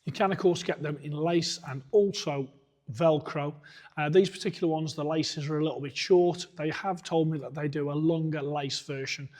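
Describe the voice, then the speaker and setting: A man's voice: on the high side, steady tone, normal speed, a little mellow. A man who has nearly lost his voice, a coach going through boxing gear point by point in a plain, methodical way. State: hoarse, losing his voice. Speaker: boxing coach reviewing equipment. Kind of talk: product review walkthrough.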